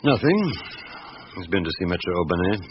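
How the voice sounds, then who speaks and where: An actor playing a patient, probing police inspector in a vintage radio drama, deliberate and matter-of-fact with thoughtful hesitations. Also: male voice, deep voice, sing-song. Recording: dull, hissy old radio broadcast.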